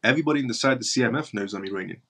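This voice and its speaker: A man's voice: medium pitch, very fast, a bit bright. A man from northern Iran with as his hosts a live stream, answering viewer chat bluntly and repeating his points for emphasis. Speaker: live-stream show host.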